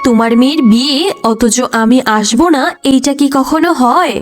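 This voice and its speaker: Casting: motherly cartoon cow, played by a woman, high voice, gentle and generous, music underneath. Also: moderate pace, close to an everyday voice. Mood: reassuring. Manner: gentle, reassuring. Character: kind, generous motherly magic cow, devoted friend. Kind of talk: cartoon character dialogue.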